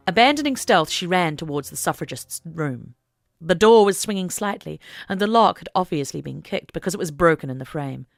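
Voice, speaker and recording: Low-voiced woman narrating a dramatic story. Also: creaky, dry recording, studio quality. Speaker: audiobook narrator.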